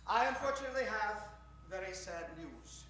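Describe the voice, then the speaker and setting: A man with a very high-pitched voice works a live audience as stage announcer. He is courteous and mock-formal, like an old-time radio showman. He is heard far from the microphone in a very echoey hall. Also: expressive, moderate pace, a bit bright, a little hiss or hum. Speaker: stage announcer warming up an improv show. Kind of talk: theatrical live emceeing to an audience.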